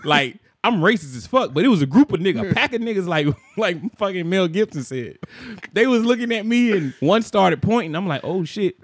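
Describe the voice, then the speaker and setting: Young man, laughing storyteller, slightly high voice, dry close sound. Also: fairly quick, breathy edge, dry recording. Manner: laughs often, animated storytelling with quoted voices. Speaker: podcast co-host. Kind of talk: casual storytelling chat.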